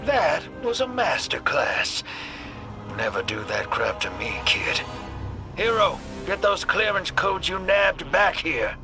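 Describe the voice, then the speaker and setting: An actor playing a wry, streetwise drifter in a video game, with a gruff, gravelly voice. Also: male voice, sits high, very animated, slow pace, over music, full character voice. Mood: wry, irritated. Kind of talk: video game cutscene acting.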